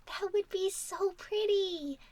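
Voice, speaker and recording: A woman voicing a gentle, curious young mermaid in a soft, often whispery ASMR roleplay, soothing and polite. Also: very high-pitched, slow pace. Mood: friendly, admiring.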